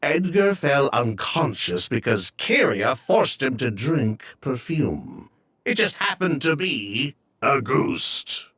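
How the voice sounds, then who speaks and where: An actor playing an evasive ex-convict perfume dealer in a video game, talkative and self-justifying with a husky, theatrical delivery. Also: male voice, mid-range voice, big pitch swings, slow pace, fairly bright tone, exaggerated voice. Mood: defensive, persuasive. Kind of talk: dramatic video game dialogue.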